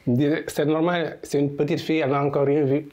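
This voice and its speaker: A man's voice: a little high, quick pace. Man telling workplace anecdotes. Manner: lively storyteller who acts out quoted lines. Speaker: immigrant interviewee. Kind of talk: anecdotal interview storytelling.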